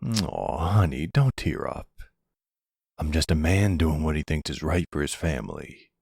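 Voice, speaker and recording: Guy doing an older mafia boss boyfriend, super gravelly n husky, calm but bossy, close dry mic, roleplay audio. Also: rumbling low, no echo, full character voice. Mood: calm, sincere. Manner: measured, deliberate, authoritative. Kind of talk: ASMR boyfriend roleplay acting.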